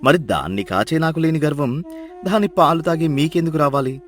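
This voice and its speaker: Man as soft-spoken clever donkey herder. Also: fairly high pitch, sing-song, faint music behind. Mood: wise, gentle. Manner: soft, measured, gently persuasive. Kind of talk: Telugu animated moral story acting.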